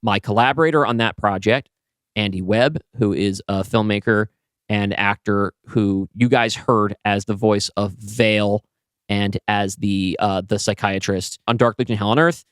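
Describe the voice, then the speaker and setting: A man's voice: fairly low pitch, clean tone, no echo, studio quality. A man co-hosting a podcast in casual conversation, speaking in an emphatic, enthusiastic way. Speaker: podcast co-host and writer.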